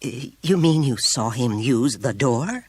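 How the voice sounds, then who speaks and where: A man's voice: medium pitch, sing-song, unhurried, husky, no room sound, voice pushed up. A man voicing an anxious, polite woman schoolteacher with a hesitant, delicate and apologetic manner. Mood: astonished.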